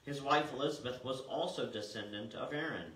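An older man delivers a church sermon in a bright, projected voice with measured emphasis. He is recorded from across a roomy sanctuary, with the microphone at a distance and faint noise behind him. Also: mid-range voice. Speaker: pastor. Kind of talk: sermon with scripture reading. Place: small church sanctuary, indoors. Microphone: far camera.

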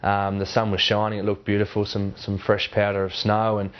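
A man in his twenties with an Australian accent, chatting casually in an interview with halting restarts. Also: lowish voice, completely flat delivery. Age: 20s. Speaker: Australian rules footballer. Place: warm indoor lounge with a fireplace. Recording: slightly dull sound.

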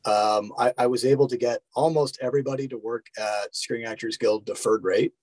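Male actor-director guest, middle-aged, mid pitch, earnest informal interview talk, very dry sound. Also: fairly quick, a little mellow, no room sound, low-quality recording. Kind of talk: casual interview, explaining.

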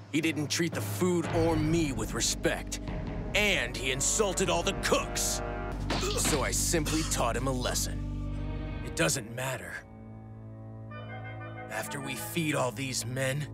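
A man's voice: mid-range voice, lightly acted. A man playing a principled, dutiful cook in an anime dub, earnest and firm.

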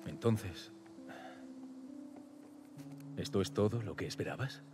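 An actor playing a weary, guarded middle-aged survivor in a Spanish video game dub, with a Castilian accent and a restrained delivery. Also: male voice, on the low side, slow pace, over music. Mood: subdued. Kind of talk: dubbed video game dialogue.

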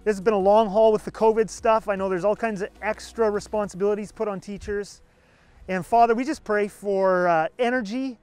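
Pastor, man in his forties, high bright voice, earnest and animated, outdoor lapel mic with faint music underneath. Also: smooth. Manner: energetic, gesturing, earnest. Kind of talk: enthusiastic church video address. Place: outdoors beside a building.

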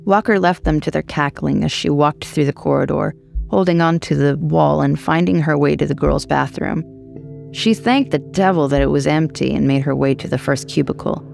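A woman's voice: deep voice, moderate pace, gravelly, darkish tone, faint music behind. A woman reading a horror short story as scripted narration.

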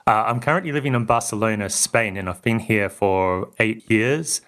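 A man from New Zealand with a mixed New Zealand and Australian accent, speaking calmly as a podcast guest with dry humor. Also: fairly low pitch, moderate pace. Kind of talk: casual conversational interview.

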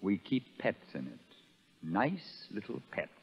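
An actor playing a sadistic, vengeful prison sergeant who taunts and barks orders in a 1930s radio drama. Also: male voice, fairly low pitch, big pitch swings, slow, gravelly, breathy edge, heavily acted. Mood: gloating, taunting. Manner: menacing, taunting, barking orders. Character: sadistic, vengeful male prison sergeant bullying new convicts. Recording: narrow muffled 1930s radio broadcast, reverberant.

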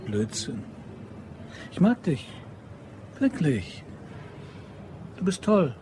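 A man's voice: fairly high pitch, big pitch swings, drawn-out words, warm tone, a little hiss or hum, exaggerated voice. A man playing a pushy, cheeky, flirtatious shop worker in an audio crime drama. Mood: flirtatious, earnest. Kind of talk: German radio play dialogue.

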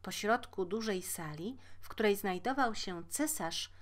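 A woman reading a fairy tale aloud as a storyteller, measured and expressive. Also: mid-range voice. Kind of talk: scripted fairy-tale narration.

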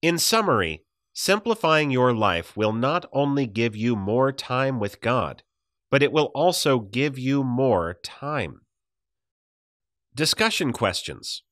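A man narrates a devotional audiobook at an unhurried pace, enunciating with care. His pitch moves in lively swings across phrases. The sound is dry and close, free of room reflections. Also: no room sound. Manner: measured, deliberate enunciation.

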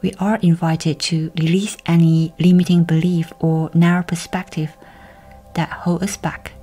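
A woman leading a guided meditation in a soft, breathy, whispery voice, with gentle, measured, soothing delivery. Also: fairly low pitch, little pitch movement.

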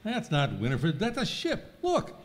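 A man's voice: high-pitched, wildly swooping pitch, a little slow, full character voice. A man playing a wry, grumbling stranded tourist in a radio skit. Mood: animated. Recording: echoey hall with noise.

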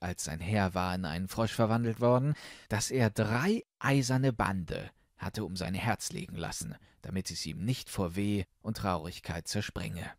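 A man's voice: on the low side, dry recording, clean studio sound. A man reading a fairy tale as a scripted audiobook narrator.

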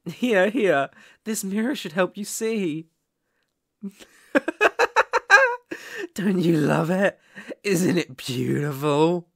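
Man acting a creaky, slow-talking psycho yandere captor. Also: high-pitched, dry recording, clean studio sound, exaggerated voice. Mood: mock-sweet, menacing. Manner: taunting, mock-sweet, menacing. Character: sadistic, possessive male psycho yandere kidnapper. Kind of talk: intimate ASMR roleplay.

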